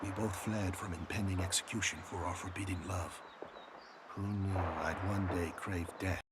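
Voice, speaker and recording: An actor playing a heartbroken, guilt-ridden exile in a video game, speaking in a mournful, theatrical way. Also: male voice, sits low, steady tone, moderate pace, very noisy, close to an everyday voice. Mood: brooding. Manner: mournful, theatrical, slipping into whispers. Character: heartbroken exiled man consumed by guilt over lost lover. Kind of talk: dramatic video game dialogue.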